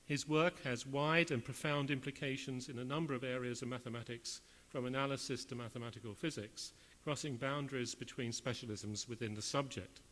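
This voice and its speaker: Male host, mid pitch, measured formal intro, echoing hall. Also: fairly even intonation, a bit bright. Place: lecture hall. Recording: reverberant hall.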